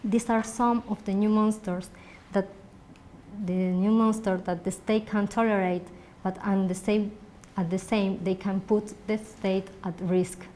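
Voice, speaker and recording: Slow, halting Argentine woman speaking English. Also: medium pitch, steady tone, dark tone. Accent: Argentine Spanish. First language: Spanish. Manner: halting, searching for words. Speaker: academic conference speaker. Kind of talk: academic talk and answering questions. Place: indoor university conference room. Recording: noisy conference room recording.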